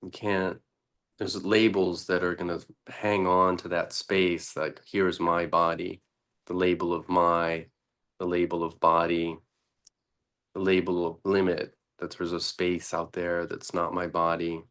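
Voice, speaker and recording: A man teaching meditation in an unscripted, deliberate way, pausing often between short phrases. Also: sits low, flat delivery, a bit bright.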